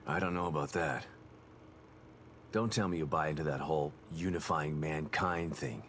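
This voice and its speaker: Very low, weary grim detective. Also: male voice, moderate pace, a bit bright, a little hiss or hum. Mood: bitter, skeptical. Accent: American. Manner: brooding, skeptical. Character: weary, grim, guarded male detective father. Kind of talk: video game cutscene acting.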